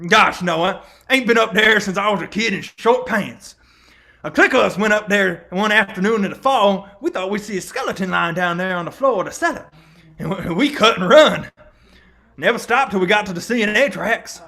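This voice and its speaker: A superstitious old country fellow in a vintage radio drama. His voice is high and creaky, leaping around as he rambles, stumbles and repeats himself in rustic speech. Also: lively intonation, normal speed, sharp tone, full character voice. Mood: rambling, wary. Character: folksy, excitable, superstitious old man, rural yarn-spinner.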